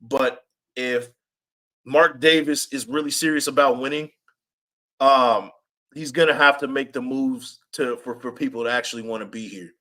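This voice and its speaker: Raspy-voiced man hosting a sports podcast. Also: mid-range voice, normal speed. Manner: animated, repeats himself, reads chat comments aloud. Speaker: podcast host. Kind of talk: casual live-stream chat.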